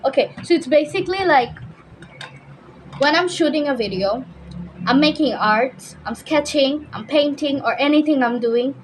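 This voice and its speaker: A woman's voice: sits high, lively intonation, moderate pace, slight background noise, poor audio. A fifteen-year-old young woman, chatting casually as a YouTuber answering viewer questions. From Bangladesh, raised mostly in Dhaka. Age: teenager, fifteen.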